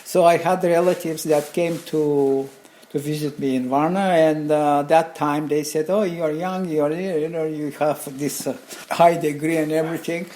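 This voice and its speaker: An older man with a Bulgarian accent speaks in a mellow, relaxed voice that sits a little high. He tells anecdotes casually, stopping and restarting, wandering off and picking up again with animation. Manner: halting, rambling, animated anecdotes with chuckles. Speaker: interview guest, craftsman and designer. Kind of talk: casual conversational storytelling.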